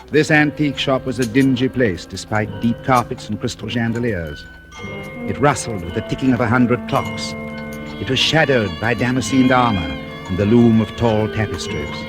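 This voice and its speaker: An actor with a British accent playing an urbane, cynical antique dealer in a 1940s radio suspense drama. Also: male voice, medium pitch, lightly acted. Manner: suave, sardonic, theatrical. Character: urbane, cynical English antique dealer telling his tale. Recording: old radio recording, music behind.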